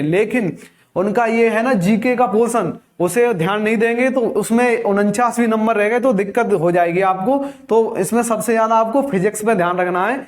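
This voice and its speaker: A man giving an instructive exam-guidance lesson, addressing viewers as friends and repeating key numbers. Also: sits high. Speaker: exam coaching teacher. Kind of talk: explanatory exam guidance lecture.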